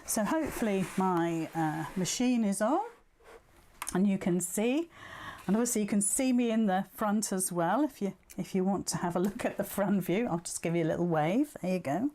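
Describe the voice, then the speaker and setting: Woman, mid-pitched voice, sewing tutor, chatty live demonstration, self-deprecating banter, talks through each step. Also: moderate pace, mellow. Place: indoors at a sewing machine.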